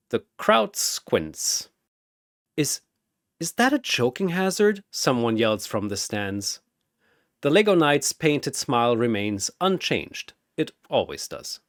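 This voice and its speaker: A man's voice: mid-range voice, no room sound, clean studio sound. A man giving a dramatic, theatrical narration of a scripted story.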